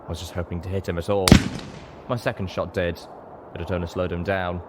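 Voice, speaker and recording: A man's voice: low voice, flat delivery, a little fast, heavy background noise. A man reading a story aloud as scripted, dramatic narration. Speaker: audiobook narrator.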